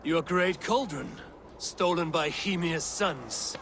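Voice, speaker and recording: An actor playing a proud, defiant Norse warrior god in a video game, speaking in a formal, grave and measured manner. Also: male voice, on the high side, fairly bright tone, a little hiss or hum. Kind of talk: video game cutscene acting.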